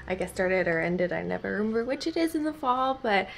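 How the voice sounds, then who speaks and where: A woman's voice: medium pitch, lively intonation, a little fast, vocal fry, loud music behind the voice. A young woman vlogging casually to a handheld camera at home, chatty and rambling, with a stuffy nose and a sore throat. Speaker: book vlogger. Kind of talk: casual vlog chat to camera. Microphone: handheld camera held at arm's length.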